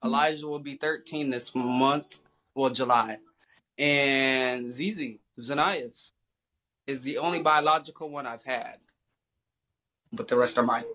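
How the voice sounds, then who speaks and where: A man's voice: medium pitch, unhurried, pauses often, sharp tone. A man in his thirties, a panel guest, introducing himself in a playful, confident way. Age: 30s. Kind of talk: casual chat.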